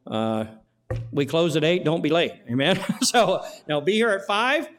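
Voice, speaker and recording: Folksy higher-voiced pastor. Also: male voice, sing-song, a little slow, soft background music. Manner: folksy, repeats words, many fillers. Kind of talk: church announcements and prayer. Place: church sanctuary.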